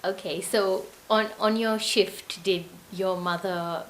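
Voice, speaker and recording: A woman's voice: medium pitch, fairly even intonation, slow, slight background noise, rough recording. A woman conducting an interview, asking questions and giving short encouraging prompts.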